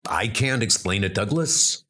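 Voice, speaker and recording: A man's voice: lowish voice, expressive, a little slow, sharp tone, exaggerated voice. A man voicing a grave soldier who leads post-apocalyptic knights, sober and serious. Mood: somber.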